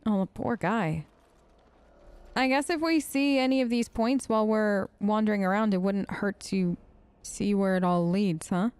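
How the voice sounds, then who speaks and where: A woman's voice: mid-range voice, normal speed, a little mellow, smooth, no echo. A woman hosting a role-play let's play, chatty and playful, talking close to the microphone. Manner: playful, bantering. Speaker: gaming video host.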